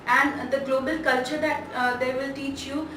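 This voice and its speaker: A high-pitched young woman with a North Indian accent gives a testimonial haltingly, searching for words. She sounds away from the mic in a very echoey space, with clear noise around her. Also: flat delivery, recorded from a distance. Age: 20s. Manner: hesitant, halting. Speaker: student giving a testimonial. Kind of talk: interview testimonial.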